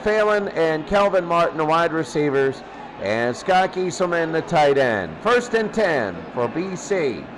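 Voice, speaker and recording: A male play-by-play announcer with a high-pitched voice gives radio football commentary. His delivery is slow and spaced out, over clearly audible background noise. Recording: clearly noisy background throughout.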